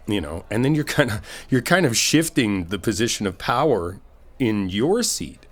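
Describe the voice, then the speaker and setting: A man's voice: on the low side, big pitch swings, normal speed. A man playing a supportive, laid-back best friend in a casual comfort roleplay, talking in a reassuring, rambling, informal way. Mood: reassuring, encouraging. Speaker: ASMR roleplay performer.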